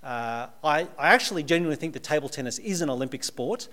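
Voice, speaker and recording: An Australian man delivers a sermon to a live audience, sounding relaxed and conversational. His voice sits a little higher than most men's, and he slips in dry jokes as he goes. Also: quick pace. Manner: conversational, with dry humorous asides. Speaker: preacher.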